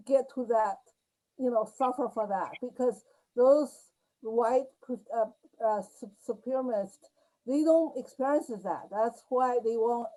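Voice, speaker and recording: High-pitched woman panelist, halting, repeats words, on a video call. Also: warm tone, rough recording. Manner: halting, repeating words while searching for them. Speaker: podcast panelist.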